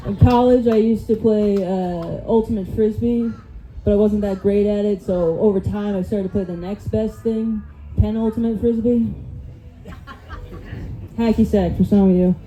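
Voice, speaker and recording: A woman with an American accent doing a stand-up set of quick one-liners on a club stage, with a rambling, self-mocking delivery into a handheld mic. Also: mid-range voice, steady tone, warm tone, a little hiss or hum, poor audio. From United States. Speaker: stand-up comic.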